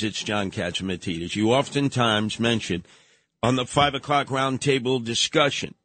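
A man co-hosting a morning talk show, asking his guests questions in a brisk, conversational manner. His voice sits in a middle range. It is recorded dry and close to the mic. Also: mid-range voice, fairly bright tone, dry recording. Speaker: radio show co-host. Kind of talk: casual radio talk.

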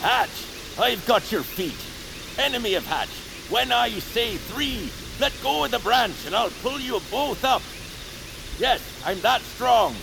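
An actor playing a needy, blunt, quirky feral hermit of the woods in an audio drama, theatrical and abrupt with a deadpan streak. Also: male voice, very high voice, slow, gravelly, noisy background, heavily acted. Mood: straining, heroic. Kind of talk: audio fiction acting.

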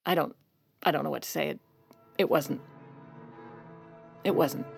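An actress playing a protective, evasive First Lady, speaking in a halting, confessional way in an audio drama. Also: low voice, creaky, soft background music, full character voice. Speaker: actor and narrator in an audio drama. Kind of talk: dramatic first-person narration and dialogue.

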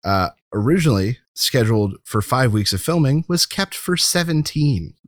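A man's voice: medium pitch, lively intonation, moderate pace, no echo. A man hosting a podcast, chatting casually about films and leaning on key words in an informal way. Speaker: podcast host. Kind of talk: casual film discussion.

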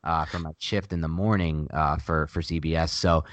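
A man with a nasal voice pitched very low co-hosts a casual sports podcast. He presses his points hard and repeats them for emphasis. His voice is recorded close and dry on a podcast mic. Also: steady tone, normal speed, no room sound. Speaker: podcast co-host.

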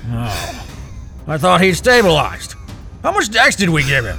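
An actor playing a weary, unfazed company staffer with a brusque manner in an audio drama. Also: male voice, on the high side, wildly swooping pitch, measured pace, vocal fry, sharp tone, faint music behind, heavily acted. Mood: weary, annoyed.

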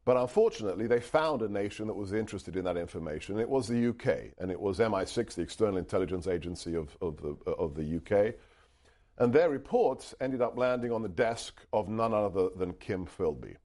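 A man's voice: fairly low pitch, animated, brisk, a little mellow. A middle-aged man raised in the UK, a Hungarian speaker, earnestly telling a story as a guest and restarting his phrases often. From United Kingdom. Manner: earnest, emphatic, with frequent restarts. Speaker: author and guest. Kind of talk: interview answers, storytelling.

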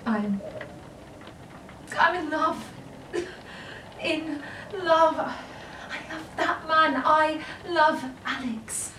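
In an echoing room, a little away from the mic, a young sister speaks slowly and haltingly as a woman acts her out. Her high voice is full of anguish and longing, as in a confessional moment of an audio play. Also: pushed and bright, exaggerated voice. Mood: lovestruck confession. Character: anguished, yearning young sister pouring out despair and forbidden love. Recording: roomy, distant mic, light noise.

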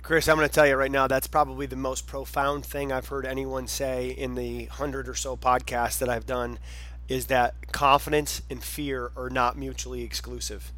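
A man's voice: medium pitch, flat delivery, normal speed, a bit bright. A man hosting a podcast, enthusiastic and emphatic as he reacts to his guest and asks questions. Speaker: podcast host. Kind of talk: casual interview talk.

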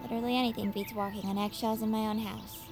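A woman's voice: fairly high pitch, level tone, fast talker, creaky, over loud music. An actress playing a skeptical, downhearted young woman with dry wit and a reluctant manner, in audio fiction. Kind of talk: naturalistic audio fiction acting.

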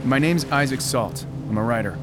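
An actor playing an awkward, earnest, self-important conspiracy writer in an audio drama, hesitant, flustered and stammering. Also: male voice, mid-range voice, fairly quick, loud music behind the voice, close to an everyday voice. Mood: cagey.

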